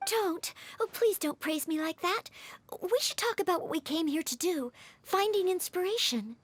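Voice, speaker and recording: A woman's voice: extremely high pitch, expressive, moderate pace, no echo. A woman voicing an eager, modest and helpful young maid girl in a video game, polite and earnest. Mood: earnest, flustered.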